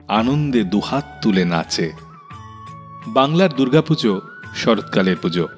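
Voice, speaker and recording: A man hosting a radio show, reading a scripted narration with literary passages in a measured, expressive manner. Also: medium pitch. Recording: long reverb, faint music behind. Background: soft music.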